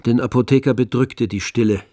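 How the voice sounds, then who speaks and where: A man's voice: medium pitch, steady tone, a little fast, warm tone, no echo. Dry, close male literary narrator. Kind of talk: literary radio drama narration.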